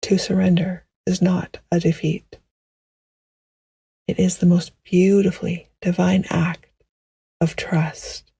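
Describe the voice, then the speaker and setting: A woman guiding a meditation in a gentle, hushed, poetic style, with a soft, breathy voice that often nears a whisper. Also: on the low side, flat delivery, dry recording. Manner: gentle, hushed, unhurried, soothing. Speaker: meditation guide. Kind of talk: slow poetic guided meditation narration.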